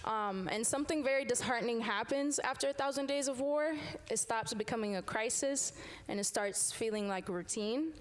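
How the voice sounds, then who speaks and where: A woman's voice: on the high side, normal speed. A young woman from Sudan, a teacher, performing spoken word poetry live before an audience, with a rhythmic, emphatic refrain. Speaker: poet and teacher. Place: live event room with an audience.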